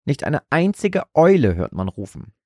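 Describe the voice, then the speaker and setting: An anxious young woman out on a night hike in a spooky audiobook story , voiced by a male narrator. Her voice is raised in pitch, hushed and breathy. She sounds uneasy and pleading. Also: very animated, moderate pace, no echo, studio quality. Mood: uneasy whisper.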